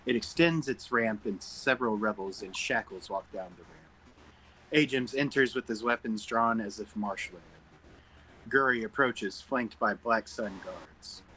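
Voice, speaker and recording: A man's voice: on the low side, measured pace, bright, forceful tone, breathy edge, poor audio. A man narrating stage directions from a script at a table read.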